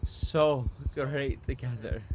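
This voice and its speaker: A man playing an obsessive stalker boyfriend in a dramatic roleplay audio, halting and pleading, with murmured endearments and nervous chuckles. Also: medium pitch, noisy background, close to an everyday voice. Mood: desperate, pleading. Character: obsessive secret yandere stalker boyfriend, tender turning desperate.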